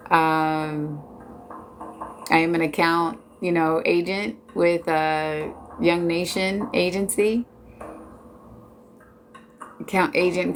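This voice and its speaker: A woman in her late forties chats with her viewers in an easygoing, joking way. She talks slowly, in a slightly low voice. A faint hum of noise sits behind her. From Washington D.C. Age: 40s. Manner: chatty, laid-back, joking. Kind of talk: casual chat with viewers.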